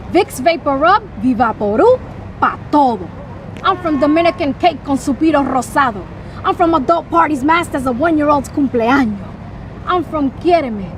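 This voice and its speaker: Woman poet, high pitch, punchy rhythmic spoken word delivery, light traffic hum. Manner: rhythmic, emphatic, mixing Spanish phrases. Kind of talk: spoken word poetry performance.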